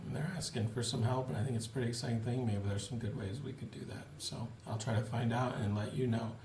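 A man's voice: medium pitch, fast, slight background noise. Man in his forties on a roomy webcam. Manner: relaxed, plain-spoken, frequent "uh" fillers. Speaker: missionary giving a family update. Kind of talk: casual video update to supporters. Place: home office, indoors, small room. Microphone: webcam at desk. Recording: roomy webcam audio with faint ambience.

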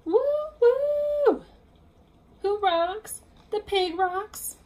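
A woman voicing an excitable, boastful little female pig in a children's picture-book read-aloud, exclaiming in a gleeful sing-song. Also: very high-pitched, extremely slow, pitched above the performer's own voice, heavily acted. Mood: boastful triumph.

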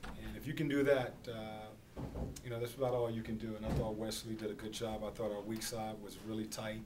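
Low, flat-voiced coach at a podium. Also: male voice. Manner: measured, halting, many fillers. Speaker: basketball head coach. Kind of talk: postgame press conference. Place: small press room at a podium. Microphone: podium microphone on a stand. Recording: distant camera audio, noisy room.

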